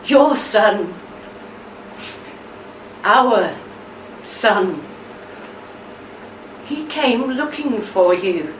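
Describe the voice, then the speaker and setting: Woman playing steady wife, radio play, gentle deliberate delivery, roomy sound, set back from the mic. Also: fairly high pitch. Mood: tender, firm. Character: steady, tender, reassuring wife of a troubled veteran. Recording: roomy, distant mic, faint background noise.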